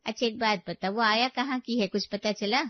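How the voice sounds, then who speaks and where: A woman's voice: a little high, steady tone, moderate pace, no room sound, muffled recording, lightly acted. A woman voicing a nosy middle-aged neighborhood aunty in a cartoon story, gossipy, teasing and prying.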